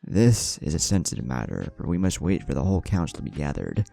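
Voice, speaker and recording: A man's voice: a bass voice, sing-song, a little fast, creaky, warm tone, full character voice. A man voicing a short-tempered older woman who leads a village, speaking with formal authority in a fan story. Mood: formal, firm. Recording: background music under voice.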